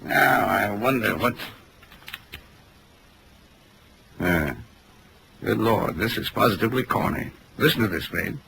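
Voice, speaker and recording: Bright, gravelly, pompous author. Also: male voice, on the low side, lively intonation, moderate pace, exaggerated voice. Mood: theatrical, excited. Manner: arch, erudite, musing aloud. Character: pompous, theatrical male mystery author, inquisitive and condescending. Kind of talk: 1940s radio acting. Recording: crackly vintage radio drama recording.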